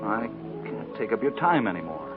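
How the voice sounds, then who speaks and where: Proud young out-of-work organizer, blunt American talk, 1940s radio drama, thin tinny broadcast over music. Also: male voice, on the low side, sing-song, normal speed. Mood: proud, pained. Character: proud, discouraged young jobless labor organizer.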